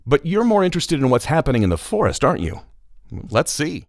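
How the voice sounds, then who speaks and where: A man's voice: fairly high pitch, sing-song, brisk. A man narrating a scripted children's podcast as storyteller host, playfully addressing listeners directly.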